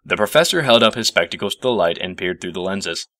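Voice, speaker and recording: A man's voice: deep voice, lively intonation, quick pace, pushed and bright, no echo. A man narrating a scripted story as its reader.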